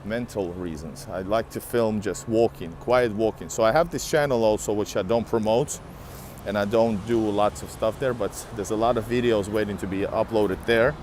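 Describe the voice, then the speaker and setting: A man's voice: lowish voice, normal speed, a little mellow, a little hiss or hum. Chatty man live-streaming on a city street. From Finland. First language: Russian. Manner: chatty, greets viewers by name. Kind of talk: casual live-stream chat while walking.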